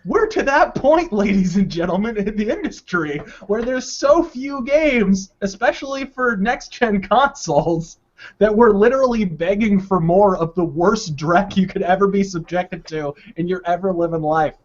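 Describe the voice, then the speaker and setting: Male podcast panelist, high voice, sarcastic and foul-mouthed, emphatic delivery, slightly muffled lo-fi sound. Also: lively intonation, normal speed. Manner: emphatic, profane ranting; sarcastic, exaggerating. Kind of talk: opinionated video game podcast talk. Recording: dull, lo-fi online audio.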